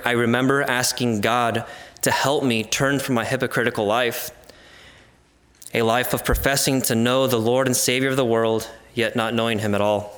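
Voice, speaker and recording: Male, 20s, American accent, native Spanish speaker. Mid-pitched voice, earnest and formal read of a scripted personal testimony. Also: a bit bright. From California and Arizona, United States.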